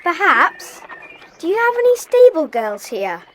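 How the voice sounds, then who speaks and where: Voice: extremely high pitch, huge pitch swings, measured pace, a bit bright, a little hiss or hum, full character voice, poor audio. A performer playing an eager, inquisitive British child detective in an audio drama, speaking earnestly. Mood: curious.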